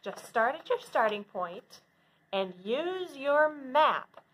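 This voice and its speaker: A woman with a high, very lively voice talks to children as a librarian. She explains step by step in simple phrases at an unhurried pace. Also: poor audio. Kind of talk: instructional talk for children.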